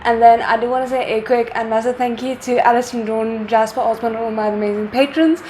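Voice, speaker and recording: A woman hosting her own reaction video, talking casually and excitedly, thinking out loud. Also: a little high, quick pace, slight background noise. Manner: excited, chatty, thinks aloud. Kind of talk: casual chat.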